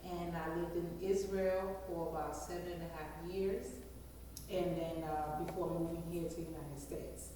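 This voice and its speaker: A woman's voice: on the low side, fairly even intonation, normal speed, warm tone, very echoey, long reverb tail, recorded from a distance, slight background noise, poor audio. A woman from Liberia, a guest chef answering interview questions with enthusiastic storytelling.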